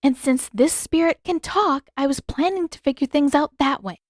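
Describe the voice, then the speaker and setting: A woman reader puts on a feisty teenage girl from a fantasy audiobook. Her voice sits high and comes across emphatic and stubborn, with an exasperated edge. The sound is dry. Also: normal speed, no room sound, lightly acted. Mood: earnest.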